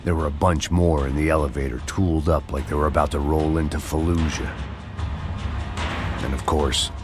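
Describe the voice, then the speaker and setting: An actor playing a weary hard-boiled ex-cop, narrating in cynical, sardonic noir voice-over. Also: male voice, a bass voice, steady tone, normal speed. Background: music.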